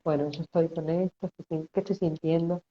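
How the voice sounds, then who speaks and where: A woman hosting a reflective conversation, speaking in a soft, flowing, thoughtful way. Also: low voice, fairly even intonation, a little fast. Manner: soft, flowing and thoughtful, acts out quoted complaints. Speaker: host. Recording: dull lo-fi audio.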